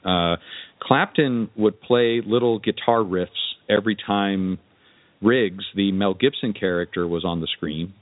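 Male podcast host, lowish voice, casual and rambling, on dull lo-fi audio. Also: a little slow, no echo. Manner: rambling, hesitant, frequent "you know" and "kinda". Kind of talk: casual interview chat.

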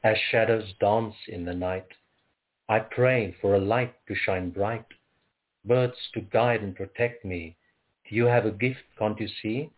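A man from Africa, reading his poems aloud in a measured, rhythmic way. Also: fairly low pitch, fairly even intonation. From South Africa. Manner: measured, rhythmic recitation with pauses. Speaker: poet. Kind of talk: poetry reading. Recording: tinny phone-like audio.

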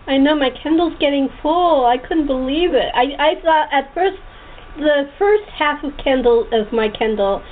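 Chatty woman on a tinny phone line. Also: high-pitched. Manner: chatty, giggly, rambling. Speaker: talk show host. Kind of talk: casual interview chat. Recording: tinny phone line with clear background noise.

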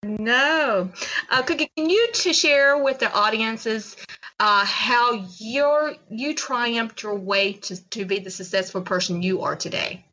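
A woman interview host with a bright, forceful tone. She gushes with enthusiasm and fills her sentences with small fillers. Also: on the high side, slow pace, highs cut off. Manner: effusive, with many fillers. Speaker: podcast host. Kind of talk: interview hosting.

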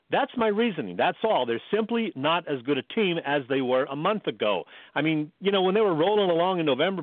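A man's voice: fairly high pitch, no room sound. A man co-hosting a sports radio show, giving his opinions in a casual, matter-of-fact way. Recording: dry, thin phone-like sound.

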